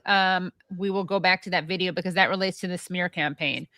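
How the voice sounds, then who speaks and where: Female stream host, sharp bright tone, dead-dry mic, brisk and scattered delivery. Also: on the low side, steady tone, no room sound. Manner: brisk, scattered, talks to producer and audience. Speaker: show host. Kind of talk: live-stream interview hosting.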